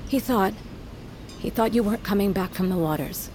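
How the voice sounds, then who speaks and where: A woman playing a stoic, commanding older mother in an audio drama, with a measured, solemn delivery. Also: mid-range voice, a little mellow, slight background noise, close to an everyday voice. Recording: faint ambience behind.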